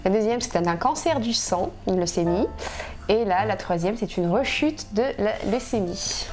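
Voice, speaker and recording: A woman's voice: medium pitch, animated, normal speed, a little mellow, faint music behind. A woman in her twenties from southern France, talking frankly as an interview guest with many fillers and restarts. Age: 20s. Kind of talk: casual interview talk.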